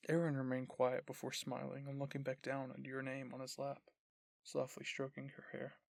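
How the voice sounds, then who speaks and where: Guy host reading fanfic narration, gravelly fry, super dry close mic sound. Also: medium pitch, monotone, moderate pace, dry recording. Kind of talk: scripted fan fiction narration.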